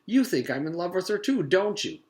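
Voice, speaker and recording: A man's voice: high voice, sing-song, a little fast, a little mellow, voice pushed up. A man voicing an earnest, helpful schoolboy who is easily flustered, in a children's storybook read-aloud. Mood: defiant. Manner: eager, indignant, easily flustered.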